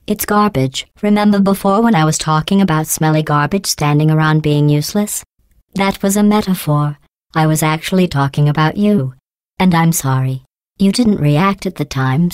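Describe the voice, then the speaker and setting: A woman voicing a cold, sarcastic female AI computer in a video game, speaking deadpan insults through a synthetic robotic filter. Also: low-pitched, smooth, no echo. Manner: deadpan, passive-aggressive insults in a calm even tone. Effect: synthetic robotic voice processing. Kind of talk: game voice lines. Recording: dry close studio recording.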